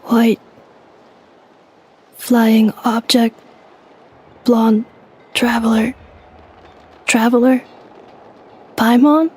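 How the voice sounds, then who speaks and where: A girl robot with missing memories, reading out short status reports in a slow, clipped, mechanical way. Her voice is fairly high but soft and mellow. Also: level tone, slightly rough, exaggerated voice.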